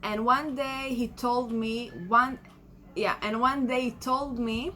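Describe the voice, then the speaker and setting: Slow, reflective Israeli woman in her twenties. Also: fairly high pitch, steady tone, pushed and bright, slight background noise. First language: Hebrew. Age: 20s. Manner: reflective, searching for words with many fillers. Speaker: vlogger. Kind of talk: casual personal vlog monologue.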